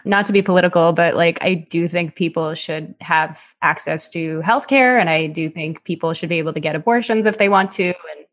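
A woman's voice: lowish voice, a little fast, hardly any pauses. A woman hosting a podcast in a chatty, casual way, often filling her talk with "like". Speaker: podcast host.

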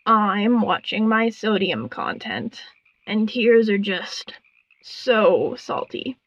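Woman voicing a croaking, sly frog queen. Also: medium pitch, very animated, no echo, dull audio. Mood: sly, dry. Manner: slow, drawn-out, haughty delivery.

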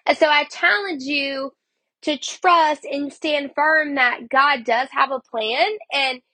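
High bright-voiced woman, sniffly. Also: slow pace, dry recording, low-quality recording. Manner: chatty, heavy use of "like". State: sniffly from a cold. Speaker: podcast host. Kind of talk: casual devotional talk.

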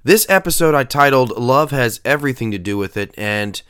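A man's voice: medium pitch, animated, fairly quick, fairly bright tone, clear, resonant tone. A man who pastors and hosts a faith podcast, talking in an earnest, emphatic way with a nasal voice and frequent filler sounds. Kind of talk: podcast sermon talk.

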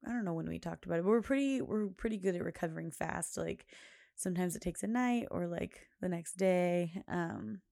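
A woman hosting her own podcast, chatting casually in a loud, carrying voice, rambling with many fillers and frequent laughs. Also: on the low side, fairly quick, creaky, no echo, studio quality. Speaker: podcast host. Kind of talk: casual chat.

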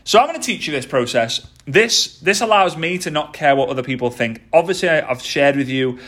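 A man's voice: fairly high pitch, lively intonation, brisk, fairly bright tone. A man in his thirties hosting a motivational podcast, speaking with energy and repeating key phrases for emphasis. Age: 30s. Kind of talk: motivational talk.

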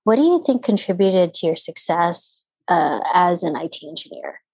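Female interviewer, slightly low pitch, dry close sound, deliberate and conversational. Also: lively intonation, a little mellow, breathy edge, dry recording, low-quality recording.

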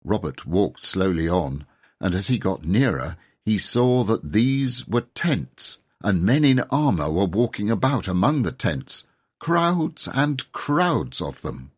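A man narrating a children's storybook expressively, with measured, dramatic emphasis. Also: on the low side, big pitch swings, slightly rough. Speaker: audiobook narrator.

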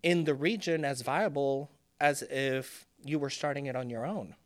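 A man in his thirties from Puerto Rico, a native Spanish speaker, talking as a podcast guest about his design work, thoughtful and pausing with many ums. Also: mid-range voice. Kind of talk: casual interview talk.

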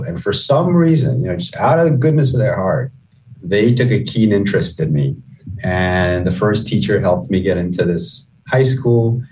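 A middle-aged man with a low voice answers interview questions as an executive. His delivery is measured and conversational, sprinkled with "you know". He sounds somewhat distant from the microphone. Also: darkish tone. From Guyana, moved to the US at eleven. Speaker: chief executive, interview guest.

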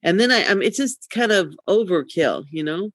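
A woman's voice: lowish voice, lively intonation, normal speed, no room sound, studio quality. A middle-aged woman podcast co-host chatting casually in a candid, rambling way. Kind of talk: casual chat.

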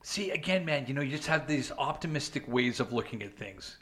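A man's voice: mid-range voice, expressive, fairly quick, fairly bright tone. A young man co-hosting a podcast, talking casually and rambling with frequent restarts, filler words and "man". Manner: chatty, frequent restarts and "man", "you know". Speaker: podcast co-host. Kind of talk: casual chat.

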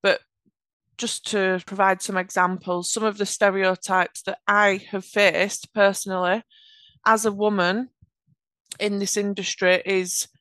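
A woman with a Yorkshire accent talks through her career in earnest, measured answers. Her voice is smooth and resonant. The recording is close and dry, with no room sound. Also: mid-range voice, flat delivery, fairly bright tone, no echo. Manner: earnest, measured explanations with occasional restarts. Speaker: podcast guest, automation architect. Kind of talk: conversational interview answers.

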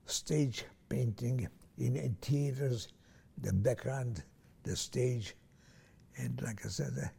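Older man, Hungarian native, slow and husky, oral history interviewee. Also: medium pitch, warm tone. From Transylvania, Romania. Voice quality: gravelly, husky, hesitant with many restarts.

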